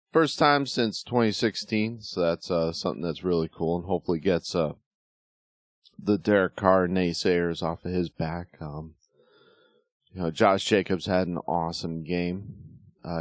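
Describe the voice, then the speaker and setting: A middle-aged man hosting a casual sports talk show, slightly hoarse, rambling with lots of fillers and restarts. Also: low voice, no echo, studio quality. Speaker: podcast host.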